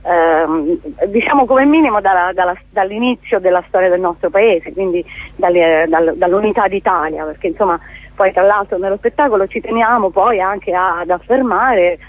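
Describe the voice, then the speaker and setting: A woman's voice: medium pitch, normal speed, no room sound. A woman giving a spontaneous radio interview as a theatre guest, hesitant, often repeating words as she searches for the next one. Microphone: telephone call to a radio station. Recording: tinny, narrow phone line.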